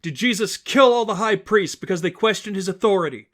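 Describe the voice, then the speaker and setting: Casting: male reader as young heroine of a dramatic story, higher pitched, defiant, earnest, emphatic delivery to a crowd. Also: brisk, fairly bright tone. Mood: impassioned. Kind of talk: dramatic audiobook-style fan fiction reading.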